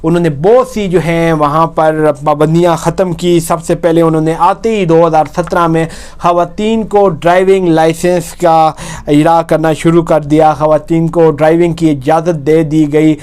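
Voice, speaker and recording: A man's voice: a little high, steady tone, normal speed, a little mellow, clear, resonant tone. A man with a Pakistani accent presenting news with explanatory commentary, often rephrasing and repeating his points.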